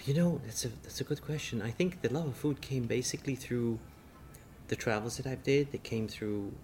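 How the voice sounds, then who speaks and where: A middle-aged man, a chef, interviewed in a restaurant, talking in a halting way with many restarts. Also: medium pitch, darkish tone, a little hiss or hum. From Singapore. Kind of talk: casual interview.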